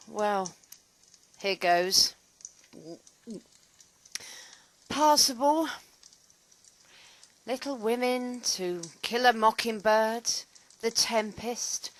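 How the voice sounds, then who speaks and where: Theatrical, lonely middle-aged actress, played by a woman, audio drama. Bright, unhurried, dramatic rambling; faint noise behind. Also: fairly high pitch, low-quality recording. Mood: wry, theatrical. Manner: dramatic, rambling, self-amused monologue. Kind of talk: audio play acting.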